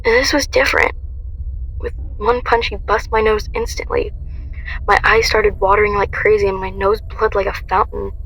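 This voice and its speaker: A woman's voice: mid-range voice, fairly even intonation, moderate pace, a bit bright, slight background noise, close to an everyday voice, poor audio. A woman playing a young heroine who recounts her ordeal in intense first-person dramatic narration. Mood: grim recollection.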